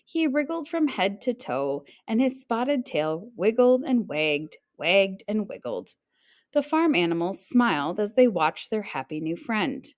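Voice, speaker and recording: A woman narrates a children's storybook with very animated, swooping intonation. Her voice sits at a medium pitch, and she reads expressively like a teacher, playing up the little sound words. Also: a little slow. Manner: expressive, acting out sounds and exclamations. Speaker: teacher reading a picture book aloud.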